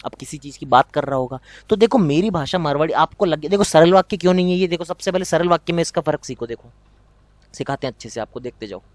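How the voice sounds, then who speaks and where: Rajasthani man teaching, brisk and repetitive. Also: on the high side, dry recording. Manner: explains by repeating key points, asks students rhetorical questions. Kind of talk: exam prep lesson.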